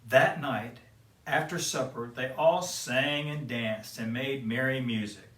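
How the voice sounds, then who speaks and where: A man reading a children's picture book aloud in a gentle storytelling way. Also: medium pitch. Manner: slow, gentle read-aloud. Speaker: storyteller for kids. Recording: roomy, mic at a distance.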